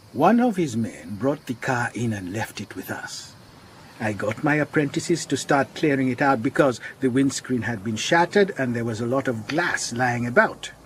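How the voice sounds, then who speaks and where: An actor playing a worried, earnest garage owner in a radio drama, with a Southern African accent, speaking gravely and deliberately. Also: male voice, mid-range voice, slight background noise, natural voice. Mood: uneasy. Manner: grave, deliberate, repeats phrases for emphasis.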